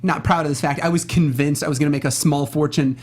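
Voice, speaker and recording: A man with a slightly high voice talks quickly in loose, slangy panel chat. He is excitable and keeps checking in with little tag questions, speaking close to a studio microphone. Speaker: co-host of a film discussion show. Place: small indoor podcast studio. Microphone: close studio mic with foam cover, headset monitoring.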